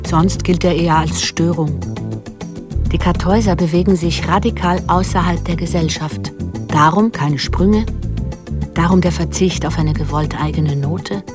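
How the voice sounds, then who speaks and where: An actress playing a watchful mother and secret killer in a radio drama, telling her thoughts in measured, controlled sentences. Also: lowish voice, fairly even intonation, natural voice. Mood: calm, protective. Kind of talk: radio drama inner monologue. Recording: music behind the voice.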